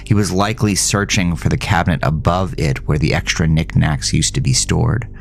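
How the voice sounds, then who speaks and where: A man's voice: sits low, fairly even intonation, normal speed, vocal fry. A man narrating a first-person horror audio drama in a somber, literary storytelling manner. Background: music.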